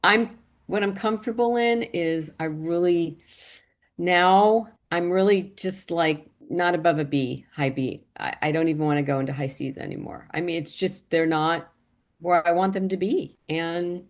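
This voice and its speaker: Middle-aged american woman, opera singer chatting away, slightly low voice, tells stories and does the voices. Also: moderate pace. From United States. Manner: animated storytelling, acts out quotes, frequent laughs. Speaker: classical mezzo-soprano guest. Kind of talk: casual interview chat.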